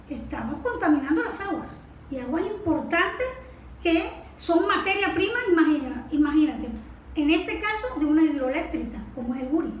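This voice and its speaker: High-voiced Venezuelan woman explaining, echoey room. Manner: didactic, with rhetorical questions and check words. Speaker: expert guest. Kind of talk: explanatory expert interview talk. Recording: echoey room, distant mic, faint noise.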